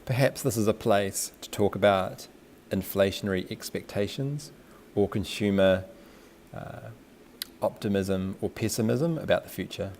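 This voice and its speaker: A man with a New Zealand accent, a teacher talking through a screencast tutorial in a relaxed, thinking-aloud way. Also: fairly low pitch, moderate pace, slight background noise.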